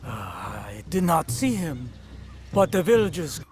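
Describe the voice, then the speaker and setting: A man's voice: on the high side, sing-song, unhurried. A man playing a shaken travelling preacher in a video game cutscene. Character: shaken travelling village preacher recalling an attack. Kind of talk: dramatic game cutscene acting. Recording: faint music behind the voice.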